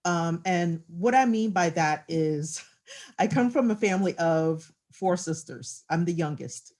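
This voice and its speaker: Woman panelist, somewhat low voice, chatty, casual, fillers. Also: measured pace, a little mellow. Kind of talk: panel discussion answer.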